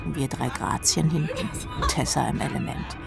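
A woman's voice: sits low, normal speed, gravelly. A woman playing a sardonic rock drummer who tells her misadventures in a dry, self-mocking, slangy way as radio drama narration. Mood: deadpan irony. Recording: music under the voice.

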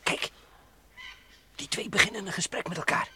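A man's voice: normal speed, sharp tone, slight background noise. Een man die in een ouderwets hoorspel voor kinderen een besliste, nieuwsgierige en plagerige ruimtereiziger speelt. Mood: curious. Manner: decisive, mocking, wondering aloud. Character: bold, curious, teasing male space explorer. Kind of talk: old-fashioned children's radio play acting. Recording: old radio play recording.

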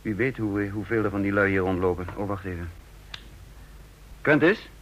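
An actor in a Dutch radio play voicing a methodical, brisk counterintelligence chief who explains deliberately, with small hesitations. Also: male voice, on the low side, fairly bright tone. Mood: brisk, commanding. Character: brisk, methodical male head of a counterintelligence service. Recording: muffled, dull radio play recording.

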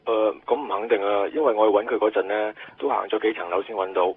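A young police detective in a radio drama, reporting to his boss. The voice comes thin through a phone line, very quick and fairly low, with a hesitant, deferential manner. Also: fairly even intonation, breathy edge, no echo. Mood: dutiful, hesitant. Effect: telephone filter. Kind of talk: Cantonese radio drama acting. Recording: thin phone-line sound, music behind.

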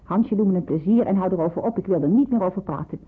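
Afkeurende jonge onderduikster, omfloerste stem. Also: female voice, fairly low pitch, fast talker, slightly rough. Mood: annoyed, firm. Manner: disapproving, dismissive, firm. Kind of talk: Dutch radio play acting. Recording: muffled narrowband old radio play.

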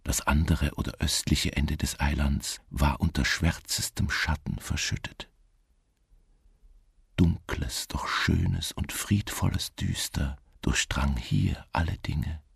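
Give the part to a lonely, dreaming wanderer in a gothic radio play. His voice is extremely low and gravelly, with a husky, hushed grain. He narrates slowly and deliberately, brooding over each phrase in a close, dry recording. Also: no echo, full character voice. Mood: somber, brooding. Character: solitary dreamy male wanderer recounting a melancholy gothic tale. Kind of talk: slow literary radio-drama narration.

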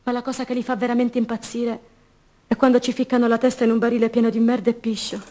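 An actress playing a young, idealistic student activist held prisoner, delivering an intense, passionate theatrical monologue for radio drama. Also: female voice, on the high side, flat delivery, fast, lightly acted. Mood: anguished, bitter. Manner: intense, swinging from hushed repetition to fervent declaiming.

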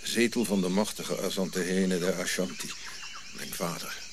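Actor as a deep-voiced, weary old prince. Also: male voice, fairly even intonation, noisy background, lightly acted. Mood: solemn storytelling. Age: older. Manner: measured, reflective, solemn. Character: dignified, weary old Ashanti prince in exile. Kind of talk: radio play acting.

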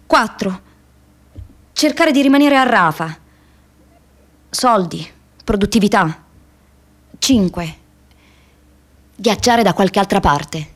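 A woman's voice: mid-range voice, close to an everyday voice. An actress playing an idealistic young American woman activist in a radio theatre monologue, telling her diary expressively in the first person. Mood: musing. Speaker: stage actress.

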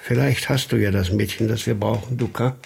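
An actor playing a sober, cautioning police detective in a radio crime drama, methodical and authoritative. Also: male voice, fairly low pitch, fairly even intonation, a little fast, vocal fry, darkish tone, a little hiss or hum. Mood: considering. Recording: music behind the voice.

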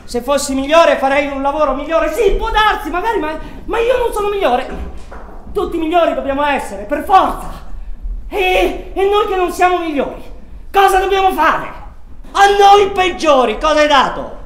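Actress doing anguished defiant woman, really high voice, stage drama, roomy echo w faint music. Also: big pitch swings, normal speed. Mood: defiant, pleading. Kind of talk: theatrical stage acting.

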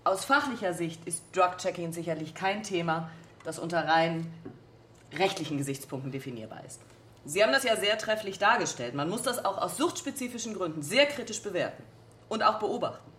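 Female state secretary, evasive and wordy, slightly low but lively pitch, roomy committee-hearing sound, radio drama. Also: a little fast. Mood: guarded. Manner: bureaucratic, hedging, wordy. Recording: roomy, faint background noise.

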